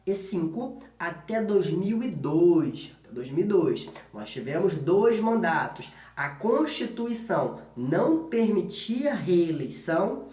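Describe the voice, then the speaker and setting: A man's voice: sits high, sing-song, takes it slowly, hardly any pauses, darkish tone. A man with a Brazilian accent giving an informal history exam-prep lesson as a teacher, colloquial and often checking in with short tag questions. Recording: echoey room.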